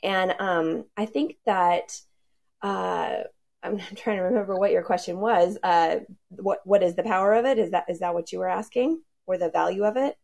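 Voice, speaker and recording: A woman speaks with a strongly gravelly, fried texture in a lowish voice. She rambles eagerly, with fillers, about her work on a video call panel. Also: moderate pace, darkish tone. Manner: enthusiastic, rambling with fillers and laughs. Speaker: sonic branding consultant.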